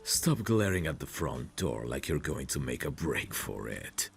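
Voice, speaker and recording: A man playing a possessive, protective mafia boss in an intimate audio roleplay, calmly menacing, commanding and smug. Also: faint music behind, exaggerated voice. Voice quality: deep, gravelly. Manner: slow, commanding, smug, teasing endearments.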